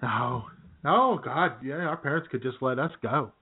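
A man's voice: medium pitch, expressive, measured pace, husky. A man co-hosting a comedic radio show, chatting with frequent laughs and mock outrage. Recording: phone-like radio audio.

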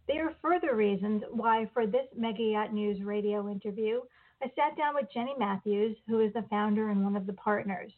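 A woman hosting a podcast interview, reading a measured scripted introduction and then asking questions. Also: medium pitch, narrow, tinny audio. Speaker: podcast host and editor.

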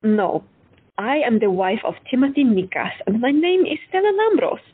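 A woman playing a frank, unconventional woman doctor who talks bluntly and candidly with a slightly husky voice. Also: a little high, sing-song, moderate pace. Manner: blunt, candid, lightly teasing. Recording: tinny phone-like audio.

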